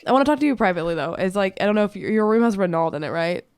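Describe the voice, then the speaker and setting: A woman's voice: mid-range voice, expressive, very fast, darkish tone, clear, resonant tone. A woman playing a tabletop game, speaking casually and a little hesitantly as she improvises. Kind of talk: casual improvised in-character chat.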